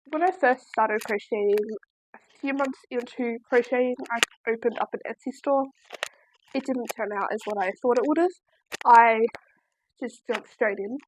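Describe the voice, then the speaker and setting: Young aussie girl rambling on her vlog, kinda high voice, noisy background. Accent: Australian. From Australia. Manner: chatty, rambling, lots of likes and ums. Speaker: crochet vlogger. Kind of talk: casual Q&A vlog. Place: at home, seated in a chair.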